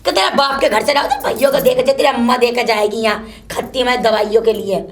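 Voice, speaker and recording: A woman playing a shrill, cursing old mother-in-law who keeps scolding her daughter-in-law. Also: fast. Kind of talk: comic one-woman sketch.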